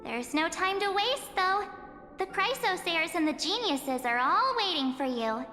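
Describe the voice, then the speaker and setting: A perky, breezy teenage game heroine. Her voice is high and bright, with a chatty, playful, coaxing way of talking. It echoes as if in a big hall. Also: expressive, measured pace, exaggerated voice. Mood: impatient, coaxing. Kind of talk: video game voice acting. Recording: echoing hall reverb with music.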